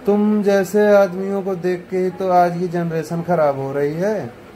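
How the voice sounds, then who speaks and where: A man giving a scornful, heated commentary, now muttering and now bursting out loudly. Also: sits high, steady tone, a little slow, slight background noise. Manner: scornful and heated, rising to loud outbursts. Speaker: online commentator. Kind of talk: ranting video commentary.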